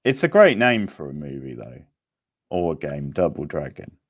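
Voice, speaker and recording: A man's voice: extremely low pitch, sing-song, normal speed. A man casually hosting a live quiz, chatting with the players. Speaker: quiz host.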